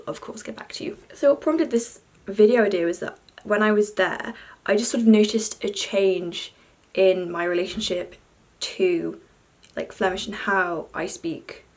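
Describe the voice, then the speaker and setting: A young woman from Belgium, chatting in an unscripted vlog, talking fast with lots of fillers. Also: medium pitch. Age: young adult. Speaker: vlogger.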